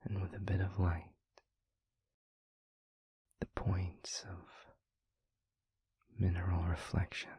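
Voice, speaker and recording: A man playing a tender, devoted demon lover in an intimate audio roleplay, speaking gently and poetically in a breathy, hushed whisper close to the microphone. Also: low-pitched, monotone, full character voice. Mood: dreamy, tender. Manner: slow, gentle, formal poetic phrasing. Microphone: very close microphone, dry.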